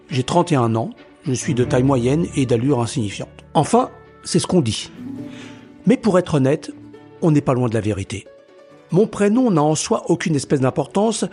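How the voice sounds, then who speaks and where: A man's voice: on the high side, darkish tone. An actor playing an anxious, self-deprecating man of thirty-one who tells his own story in a theatrical audio drama. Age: 30s. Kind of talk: first-person audio drama narration.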